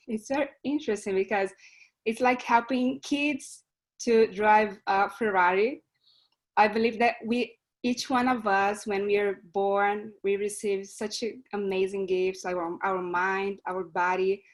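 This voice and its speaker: Brazilian woman, earnest and a little hesitant. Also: medium pitch. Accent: Brazilian Portuguese. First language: Portuguese. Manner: earnest, slightly hesitant, with restarts. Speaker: club member introducing herself. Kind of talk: self-introduction speech. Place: online meeting. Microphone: video call.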